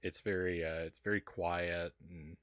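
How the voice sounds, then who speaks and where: A deep-voiced man from Kentucky chats on a live game stream, reading viewers' messages aloud. His tone is bright and forward, with easy pauses and filler words, recorded dry and close, with faint game music behind him. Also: dry recording. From Kentucky, USA. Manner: relaxed, chatty, reads chat aloud with many ums and uhs. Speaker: streamer reading chat while playing a retro game. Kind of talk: casual live-stream chat.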